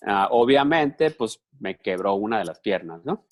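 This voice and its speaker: A man's voice: lowish voice, animated, a little slow. A man working as a live interpreter for a conference speaker, talking conversationally with repeated words and restarts.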